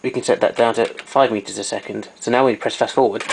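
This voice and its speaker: A man's voice: lowish voice, steady tone, quick pace, creaky, a little hiss or hum, rough recording. A man giving an informal, hands-on equipment demonstration, explaining technical details as he goes.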